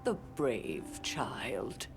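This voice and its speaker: Gentle village woman, very slow, swooping intonation, tender, video game, music behind. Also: rumbling low, very animated, exaggerated voice. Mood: sympathetic, regretful. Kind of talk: video game cutscene acting.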